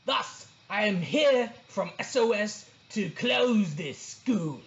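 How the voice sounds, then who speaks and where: A storyteller voicing a pompous, bullying wild boar official in a children's book, booming and officious. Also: expressive, lo-fi sound. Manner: booming, officious, drawn-out scornful delivery.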